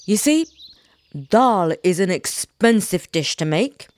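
Lady doing a sly hungry woodcutter guy in a folk tale, chatty n wheedling, bouncy pitch. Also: lively intonation, slow, darkish tone. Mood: crafty. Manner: confiding, wheedling. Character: poor crafty woodman, male, sly and food-loving. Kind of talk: storybook character voice.

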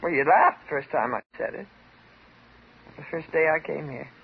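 An actress playing a bitter, neurotic psychiatric patient, sardonic and intense, in a 1950s radio drama. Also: female voice, low-pitched, lively intonation, normal speed, vocal fry. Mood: cold, needling. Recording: muffled, noisy 1950s radio recording.

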